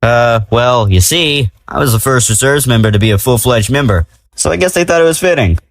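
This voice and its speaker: A man's voice: mid-range voice, lively intonation, brisk, dry recording, close to an everyday voice. A man playing a cocky, self-important male superhero who explains things breezily and jokes, in an audio drama. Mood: awkward, curious. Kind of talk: audio drama character acting.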